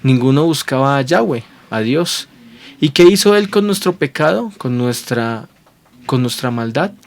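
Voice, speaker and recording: A man preaching with earnest conviction, his voice sitting at an ordinary middle pitch. He keeps checking in with his listeners through quick rhetorical questions and circles back over phrases as he builds each point. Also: expressive. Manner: repeats and restarts phrases while building points. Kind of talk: sermon to viewers at home.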